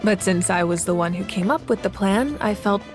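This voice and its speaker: A woman playing a noble, dutiful war leader in a video game, speaking in a calm, measured, formal way. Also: mid-range voice, over loud music, close to an everyday voice. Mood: reflective, calm. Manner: composed, measured, earnest, formal. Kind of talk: scripted video game dialogue.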